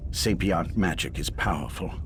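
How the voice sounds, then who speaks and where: An actor playing a calm, self-sacrificing mage in a video game, measured, formal and wry with quiet regret. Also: male voice, low-pitched, noisy background. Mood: determined.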